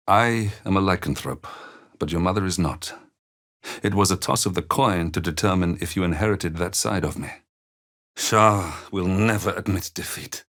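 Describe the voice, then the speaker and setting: A man playing a gentle, weary older father in a fantasy video game, speaking in measured, earnest, formal phrases. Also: low-pitched. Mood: solemn, resigned. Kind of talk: dramatic fantasy game dialogue.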